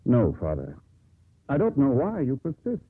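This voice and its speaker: Scornful son, husky and animated. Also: male voice, mid-range voice, normal speed. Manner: dismissive, mocking. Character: scornful landlubber son in his twenties or older. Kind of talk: 1950s radio drama acting. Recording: muffled, narrow-band old radio broadcast.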